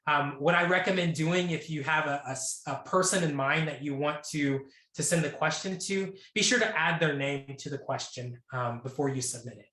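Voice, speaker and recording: A man's voice: fairly high pitch, moderate pace, few pauses, pushed and bright, roomy sound. A man moderating a webinar panel over a video call, casual, with fillers and restarts.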